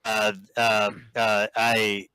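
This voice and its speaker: Bright dry-sounding guy in a voice chat debate, really slow, keeps restarting with ums. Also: fairly low pitch, completely flat delivery, clear, resonant tone, no room sound. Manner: halting, many restarts and ums. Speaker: vegan activist. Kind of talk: debating on a voice call. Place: online voice chat.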